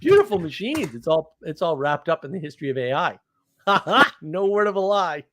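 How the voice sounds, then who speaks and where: A man's voice: on the high side, sing-song, moderate pace, a bit bright. A man talking casually and off the cuff as a live stream host, with a blunt, opinionated, rambling manner. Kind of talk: casual unscripted monologue.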